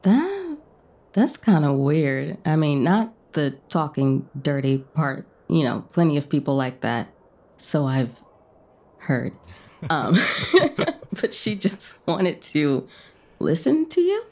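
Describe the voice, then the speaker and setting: Dorky, curious, teasing woman played by an actress for a radio romance: low-toned, leisurely, hesitant. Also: very animated, slow pace, dark tone, exaggerated voice. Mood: curious, playful. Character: dorky, playful, self-deprecating woman, chatty and curious. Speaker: voice actor in a romance audio play. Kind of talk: naturalistic radio play dialogue.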